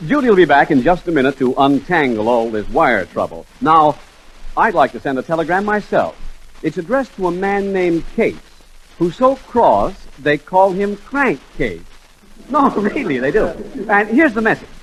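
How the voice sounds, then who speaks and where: A man's voice: on the high side, sing-song, moderate pace, faint music behind. A man delivering a 1940s radio commercial as announcer, with emphatic, playful salesmanship. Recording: faintly noisy 1940s radio recording.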